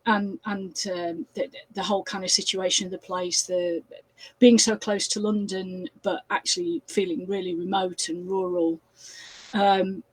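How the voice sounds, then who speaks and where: Woman, 60s, Yorkshire accent, husky and close-miked dry, chatty author on a video call. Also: mid-range voice, dry recording, low-quality recording. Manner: chatty, with many fillers and restarts. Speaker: crime author. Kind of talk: casual interview chat.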